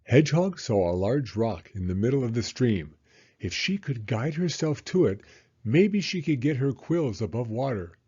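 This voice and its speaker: A man narrating a children's story as an expressive read-aloud. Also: mid-range voice, normal speed, slightly rough. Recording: slightly dull, muffled audio.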